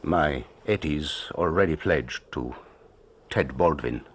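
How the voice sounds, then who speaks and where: Extremely deep, husky stern father. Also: slow, full character voice. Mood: grave. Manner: grave, warning. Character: stern, protective older father and landlord. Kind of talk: radio drama acting.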